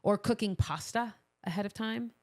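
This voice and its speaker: A woman's voice: medium pitch, moderate pace, dry recording. A woman co-hosting a podcast, talking in a casual, conversational way with her partner. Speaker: podcast co-host.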